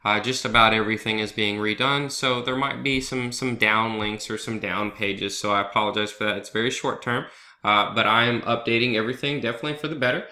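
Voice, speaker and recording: A man with a head cold hosts his own trading video blog and talks through stock charts in a loose, chatty way, tossing in "right?" as he goes. His voice is bright and forward, and he sounds congested and sniffly. The room behind him is echoey. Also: lowish voice, fairly even intonation, a little fast. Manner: conversational, loose, with fillers and asides like "right?". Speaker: trader hosting a market analysis video blog. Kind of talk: casual chart walkthrough over a screen recording.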